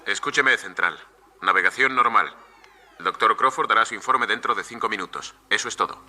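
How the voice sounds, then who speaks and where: Deep-voiced submarine crewman. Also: brisk, slight background noise. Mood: formal. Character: curious, astonished male submarine crewman. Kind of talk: radio drama acting. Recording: loud music behind the voice.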